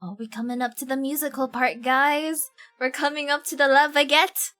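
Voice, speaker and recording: A woman's voice: sits high, fairly even intonation, normal speed, bright, forceful tone. Woman streamer over game music. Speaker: live streamer. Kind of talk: game livestream, reading text aloud and chatting casually.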